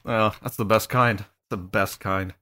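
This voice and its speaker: Bright-voiced joking podcast co-host, a man. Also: on the low side, fairly even intonation, measured pace, no room sound. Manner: joking, playful asides. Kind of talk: casual banter.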